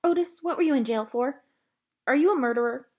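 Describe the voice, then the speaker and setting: A woman's voice: high voice, brisk, darkish tone, pitched above the performer's own voice. A woman voicing a curious, earnest young girl from a storybook who asks frank, direct questions. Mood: blunt, curious.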